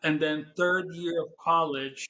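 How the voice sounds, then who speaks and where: A young man speaks with a Serbian accent, his slightly raised voice carrying relaxed interview answers. English is not his first language, and he pauses now and then to find the right word. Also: fairly high pitch, rough recording. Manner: relaxed, searching for words in non-native English. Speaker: guest, business owner.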